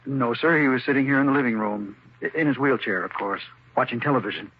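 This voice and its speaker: A man's voice: medium pitch, fast, lightly acted. An actor playing a polite, somewhat defensive young male caretaker in a 1950s radio drama. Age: young adult. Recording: dull old radio broadcast with faint hiss.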